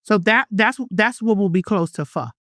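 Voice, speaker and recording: A woman's voice: mid-range voice, normal speed, a bit bright, dry recording, studio quality. A woman hosting a podcast in casual chat, sassy and repeating her words, calling her guest honey and girl. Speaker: podcast host.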